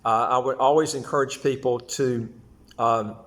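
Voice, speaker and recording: A man giving an informal explanatory talk to viewers as an advice host, halting and often repeating or stumbling over his phrases. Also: medium pitch, fairly even intonation. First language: English. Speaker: host of a second-passport advice channel.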